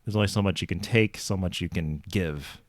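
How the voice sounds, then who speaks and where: A man's voice: low-pitched, brisk. A man hosting a podcast, talking in a casual, conversational interview style. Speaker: podcast host interviewing a guest.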